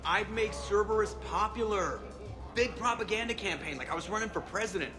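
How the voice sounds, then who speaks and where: A man's voice: high voice, fairly quick, close to an everyday voice. A man playing a sarcastic, wisecracking spaceship pilot in a video game, delivering snarky quips with mock seriousness. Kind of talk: scripted game dialogue. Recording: music under the voice.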